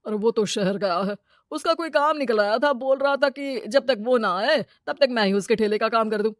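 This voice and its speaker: A woman plays a greedy village moneylender from a moral cartoon tale. He is brash, scheming and gloating, in a fairly high voice with a very dry sound. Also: moderate pace, no echo, natural voice. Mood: brash, dismissive. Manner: brash, exclaiming. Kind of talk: animated moral-story character dialogue.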